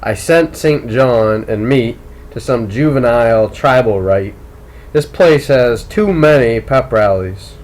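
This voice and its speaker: Audiobook role: man as a clever teenage jock, coolly insistent and manipulative, very unhurried delivery. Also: mid-range voice, a little mellow, natural voice. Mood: coolly probing. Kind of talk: audiobook character dialogue.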